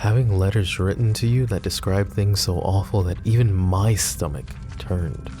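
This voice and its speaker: A man voicing a possessive, protective mafia boss in an ASMR roleplay, talking in a calm, confiding way with casual swearing. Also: low voice, moderate pace, over music, natural voice. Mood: brooding. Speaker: voice actor.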